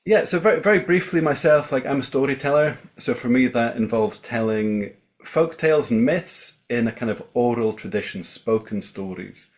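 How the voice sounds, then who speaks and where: Male Scottish storyteller, mid-range voice, talking informally to an online group, pausing thoughtfully between phrases. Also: mid-range voice, lively intonation. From Scottish Highlands. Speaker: storyteller, guest speaker. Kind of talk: informal spoken introduction on a group video call. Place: video call.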